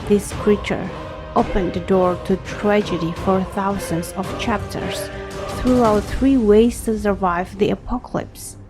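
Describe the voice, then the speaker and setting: A woman narrating an audio drama in the first person as an anxious young protagonist, grave, measured and introspective. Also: medium pitch, steady tone, over music, natural voice. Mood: tense. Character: anxious young reader, inwardly narrating an apocalypse.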